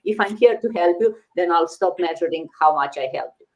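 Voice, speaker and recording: A woman's voice: on the low side, lively intonation, fairly quick, muffled recording. A woman hosting a casual business talk, encouraging and thinking aloud with frequent fillers and "right?" checks. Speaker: host.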